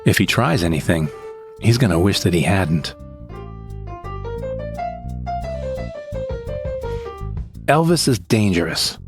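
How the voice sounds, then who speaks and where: A man's voice: low voice, big pitch swings, moderate pace, over music. A man playing a frightened, bitter victim who recounts his ordeal in plain, short sentences for an audio drama monologue. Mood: wary, resentful. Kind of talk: first-person scripted audio drama monologue.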